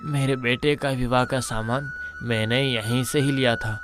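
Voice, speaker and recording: A man's voice: medium pitch, steady tone, moderate pace, over music, lightly acted. A man playing a polite, loyal older shop customer in a moral-story cartoon.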